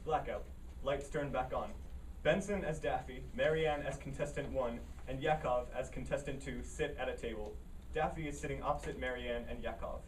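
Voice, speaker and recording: A man narrates scripted stage directions at a staged reading. His pitch is average, and he is heard from some distance with faint noise in the room. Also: moderate pace.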